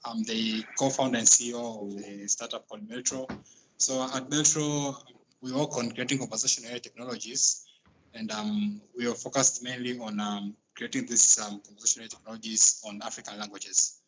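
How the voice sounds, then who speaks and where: Tanzanian male founder, monotone, halting delivery, faint background hiss. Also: medium pitch, rough recording. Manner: steady, halting with fillers. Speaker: startup co-founder and CEO, panelist. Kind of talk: self-introduction on a panel.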